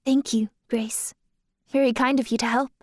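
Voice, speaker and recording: A polite, coy young woman with a mystical side played by an actress in an audio drama. Her voice is high and recorded very dry. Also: fairly even intonation, normal speed, no echo, lightly acted. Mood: gentle, polite. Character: polite, coy young woman dancer who can fly.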